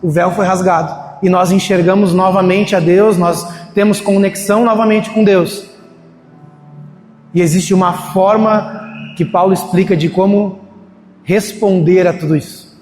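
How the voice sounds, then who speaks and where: A man's voice: sits high, fairly even intonation, measured pace, darkish tone, faint music behind. A man preaching a sermon in a church in a casual, storytelling way, acting out the voices of people he quotes. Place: church hall. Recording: echoey hall, faint ambience.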